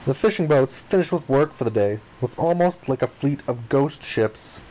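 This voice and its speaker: A man's voice: mid-range voice, normal speed, rough recording. A man reading a visual novel's narration and dialogue aloud as a playthrough host, expressively acting out the lines. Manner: expressive, acts out the protagonist's lines.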